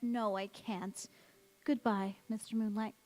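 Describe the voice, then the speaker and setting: A woman playing a strange, self-possessed young woman in a radio drama, speaking earnestly and deliberately. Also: mid-range voice, fairly even intonation, dark tone, natural voice. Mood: wistful. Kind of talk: stage radio drama acting. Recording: echoey church hall.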